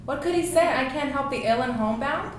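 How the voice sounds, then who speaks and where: Woman playing chatty neighbourhood friend, wry, animated, higher voice, table read, strong echo. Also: fairly quick, lightly acted. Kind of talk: screenplay table read, dialogue. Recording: very echoey room, faint noise.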